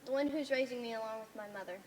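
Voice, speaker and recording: A woman's voice: fairly high pitch, racing, very noisy, close to an everyday voice. A woman on stage playing a stubborn, outspoken young girl, plain-spoken and insistent. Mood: earnest. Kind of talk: stage play acting. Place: theatre stage. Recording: distant microphone on stage.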